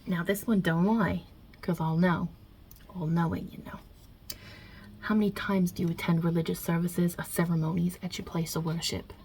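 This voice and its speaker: A woman's voice: fairly low pitch, level tone, moderate pace, a little mellow, slight background noise, natural voice. A woman playing a non-binary Italian mob boss God with a put-on New York Italian-American accent, wry and casual with muttered asides, in an ASMR roleplay. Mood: dry, teasing.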